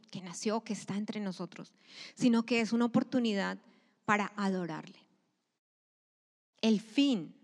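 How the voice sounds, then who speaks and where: A woman preaching a sermon to a church congregation. She speaks emphatically, swelling loud and then dropping to a near-whisper. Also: mid-range voice. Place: church sanctuary. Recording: long hall reverb.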